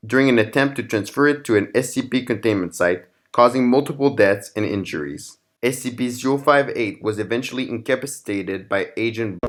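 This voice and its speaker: A man's voice: lowish voice, a bit bright. A man hosting and narrating scripted horror fiction in the form of documents and logs. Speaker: narrator and channel host.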